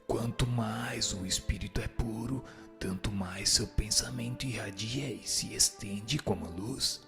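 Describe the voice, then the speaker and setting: A man voicing a solemn spirit who teaches in a measured, declamatory, didactic way in a radio drama. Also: fairly low pitch, vocal fry, music in the background. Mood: solemn, instructive. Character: solemn male spirit answering questions and dictating teachings. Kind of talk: dramatized reading of a spiritist text.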